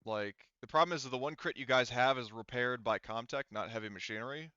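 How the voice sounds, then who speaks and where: A man's voice: medium pitch, fast, bright, forceful tone, clean tone, no room sound, highs cut off. A man acting as game master, casually talking through rules and thinking aloud in a hesitant way. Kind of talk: casual tabletop game refereeing, explaining rules.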